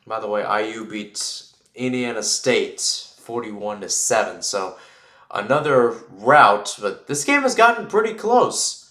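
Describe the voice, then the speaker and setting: A man's voice: on the low side, animated, measured pace, sharp tone, roomy sound. A man giving live play-by-play football commentary in an informal way, thinking aloud and correcting himself as he goes.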